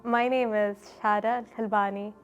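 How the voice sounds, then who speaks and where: Young woman from Oman, even-toned. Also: mid-range voice, normal speed, highs cut off. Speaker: student. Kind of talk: interview.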